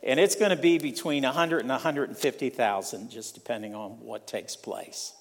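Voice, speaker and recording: An older man from Ohio preaching a sermon in a church, slow and emphatic, repeating key lines. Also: mid-range voice, vocal fry. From Ohio, USA. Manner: slow, emphatic preaching with repetition and rising intensity. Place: church sanctuary. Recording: long hall reverb.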